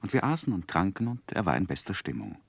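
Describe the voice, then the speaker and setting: A grown man looking back on his life in a 1960s radio drama. His voice is low and grave, with a measured, wistful storytelling manner. The sound is close and very dry. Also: big pitch swings, creaky, husky, no echo, exaggerated voice. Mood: reflective. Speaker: narrator.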